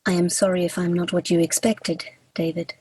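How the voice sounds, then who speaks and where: A woman's voice: lowish voice, little pitch movement, brisk, darkish tone, slightly rough, no room sound. A woman acting in a radio play as an awkward, earnest female Grim Reaper who speaks in stiff, formal, literal phrases.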